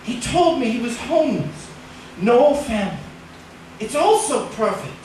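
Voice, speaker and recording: An actor playing a middle-aged husband in an old-time radio thriller, halting and urgent, shaken yet greedy and insistent. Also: male voice, very high-pitched, big pitch swings, a little mellow, a little hiss or hum, heavily acted. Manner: halting, repeats phrases, urgent. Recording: echoey distant radio-drama recording with music.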